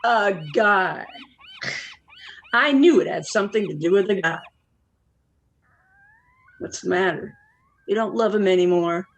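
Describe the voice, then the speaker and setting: A woman's voice: mid-range voice, measured pace, pauses often, highs cut off. A woman acting a blunt, lonely forty-nine-year-old who probes with wry questions in a naturalistic play. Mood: wry, nosy. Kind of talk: naturalistic one-act play dialogue.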